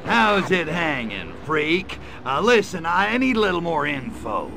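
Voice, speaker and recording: Gravelly, sardonic little alien invader. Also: male voice, a little high, a little slow, exaggerated voice. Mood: wry. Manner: wisecracking, sneering, deadpan asides. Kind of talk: video game cutscene acting.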